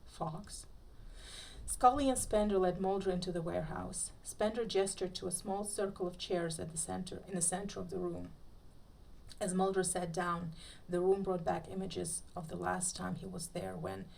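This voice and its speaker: Woman reading aloud in a creaky voice. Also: fairly low pitch, steady tone, moderate pace, darkish tone, rough recording. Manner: playful, with joking asides. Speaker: podcast host. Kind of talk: dramatic live reading of fan fiction.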